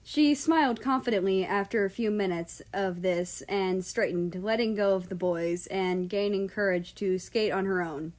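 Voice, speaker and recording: Female story narrator, mid-pitched, reading scripted prose. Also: normal speed, hardly any pauses, a little mellow. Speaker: fan fiction narrator.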